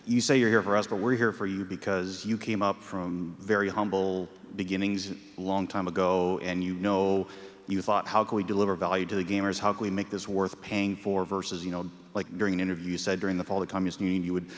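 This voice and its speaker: A man's voice: low voice, little pitch movement, a little fast. A middle-aged man from the audience making an earnest, speech-like statement to the panel. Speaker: audience member. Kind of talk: audience question. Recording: convention hall reverb.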